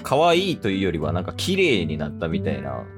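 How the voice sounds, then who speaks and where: A man's voice: low-pitched, huge pitch swings, fairly quick, voice pushed down, exaggerated voice. A man with a Kansai accent playing a friendly young man casually and hesitantly asking an old friend out in an improvised radio drama. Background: plucked guitar music.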